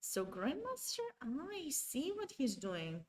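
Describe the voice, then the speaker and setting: A woman's voice: sits high, wildly swooping pitch, slow. A woman, commentating a chess game live and chatting with viewers, often restarting her sentences. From Romania. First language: Romanian. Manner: chatty, self-correcting, talks to chat.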